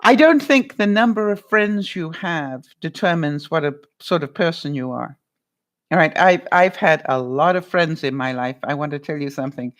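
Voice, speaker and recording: A woman's voice: sits low, moderate pace. Chatty woman with a voice that carries. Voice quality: loud voice that carries. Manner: chatty, lively, laughs often, talks to viewers by name. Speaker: vlogger answering live chat. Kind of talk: casual livestream chat.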